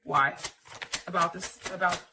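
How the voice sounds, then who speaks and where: A woman's voice: fairly low pitch, steady tone, unhurried. A woman giving a casual, candid tarot reading as a channel host, chatting informally and repeating phrases for emphasis. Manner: candid and informal, with mild swearing. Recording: distant mic, background noise with clicks.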